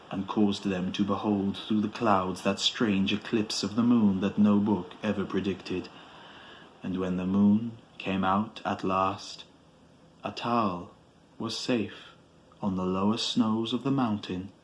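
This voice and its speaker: Deep, slow male horror storyteller. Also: a little hiss or hum, poor audio. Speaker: podcast host reading a story. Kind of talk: scripted dramatic horror narration. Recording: music behind the voice.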